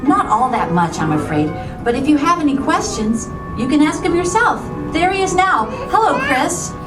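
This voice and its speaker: A woman playing a polite, brisk department store executive in a theatrical puppet show. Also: high-pitched, very animated. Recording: echoey, distant recording with music.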